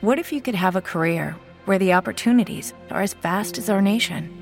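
A woman's voice: mid-range voice, fairly quick, creaky. A woman announcer reading a scripted recruitment commercial with faint music behind.

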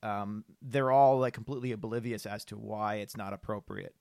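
A man hosting a casual podcast conversation, talking in a halting, rambling way with repeated words. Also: lowish voice, steady tone, creaky, darkish tone, dry recording, studio quality. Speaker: podcast host.

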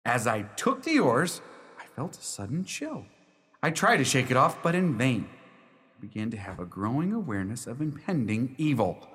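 A lovestruck young gentleman of a radio play, tells his own tale in formal, measured phrases. His mid-pitched voice is earnest and swells with long hall reverb. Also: sing-song. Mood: uneasy. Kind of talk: radio drama narration, first person.

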